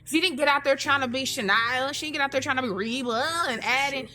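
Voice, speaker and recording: Bright, high-voiced American woman co-hosting. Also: quick pace, faint music behind. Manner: emphatic, repeats phrases for effect. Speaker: radio show co-host. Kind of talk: casual opinionated banter.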